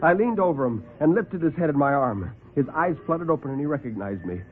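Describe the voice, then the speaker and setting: A man's voice: a little high, lively intonation, fast, close to an everyday voice. An actor playing a brash, slangy newspaperman who narrates with punchy tough-guy delivery in a classic radio drama. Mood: urgent, shaken. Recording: muffled vintage radio recording with music.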